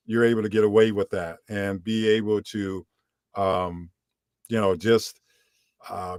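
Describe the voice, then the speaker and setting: Deep-voiced man, relaxed and rambling. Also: no room sound, studio quality. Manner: relaxed, rambling, many fillers. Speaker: audiobook narrator and voiceover coach. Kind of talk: casual interview answers.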